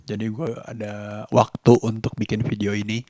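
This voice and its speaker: A man talking in a casual daily vlog, reflective and halting, pausing mid-sentence. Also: lowish voice, monotone, dark tone, no room sound, studio quality. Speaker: vlogger.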